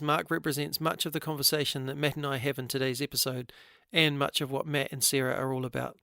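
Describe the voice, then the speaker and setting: A man's voice: on the high side, vocal fry, a bit bright, clear, resonant tone, dry recording, clean studio sound. A middle-aged man hosting a podcast, reading an earnest scripted intro and then chatting with his guest. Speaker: podcast host.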